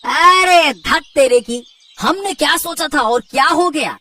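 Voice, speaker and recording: A woman voicing an excitable, earnest young village fisherman in a Hindi cartoon, exclaiming and repeating words urgently. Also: high voice, unhurried, far from the mic. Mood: grumbling. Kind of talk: Hindi cartoon moral story acting.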